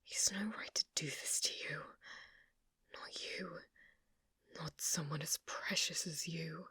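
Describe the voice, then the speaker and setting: A British woman acting in an ASMR roleplay as a sweet, shy, secretly obsessive shop clerk, soft-spoken, hesitant and apologetic. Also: low voice, steady tone, unhurried, breathy edge, no echo, studio quality, heavily acted. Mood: muttering, obsessive anger.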